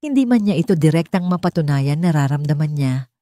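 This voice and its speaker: A Filipino woman narrates a dramatic story in audiobook style. Her voice sits on the low side for a woman, and the sound is dry and close, with little room to it. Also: expressive, brisk, warm tone, no echo.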